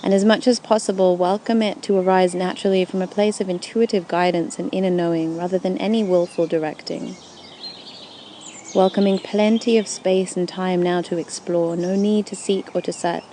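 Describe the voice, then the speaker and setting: A woman with a dark, relaxed, lowish voice leads a guided meditation. She speaks soothingly and takes her time over each gentle phrase. Faint birdsong and forest ambience sit behind her. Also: moderate pace, slight background noise. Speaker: yoga nidra guide. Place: outdoors in a forest at dusk. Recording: outdoor recording with faint birdsong.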